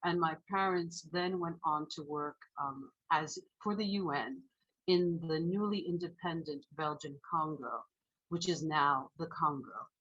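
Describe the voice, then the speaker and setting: A woman in her forties, born in Haiti with French as her first language, giving a sermon in a measured, reflective way. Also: deep voice, a bit bright, breathy edge, lo-fi sound. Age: 40s. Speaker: minister.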